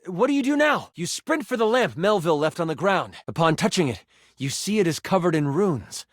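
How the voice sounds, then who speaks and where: A man playing an eager game-master character, narrating a tabletop fantasy adventure with dramatic, expressive delivery. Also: high-pitched, a little fast, no echo, clean studio sound. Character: animated game-master character, eager storyteller, a living die.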